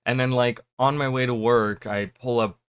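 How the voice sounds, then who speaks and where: A man talking casually to his viewers, rambling with lots of fillers and restarts, his voice a little raspy from just waking up. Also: medium pitch, fairly even intonation, normal speed, a bit bright, no echo. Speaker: gaming YouTuber. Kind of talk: casual chat to camera.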